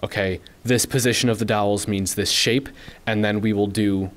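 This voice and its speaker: A young man, a student team member, giving earnest, steady remarks at a school board meeting. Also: on the low side, moderate pace.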